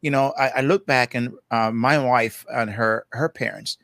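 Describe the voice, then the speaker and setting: A man's voice: mid-range voice, moderate pace, vocal fry, fairly bright tone, dry recording, clean studio sound. An older man with a Jamaican accent, a guest therapist talking in a chatty, easygoing way. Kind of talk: casual interview talk.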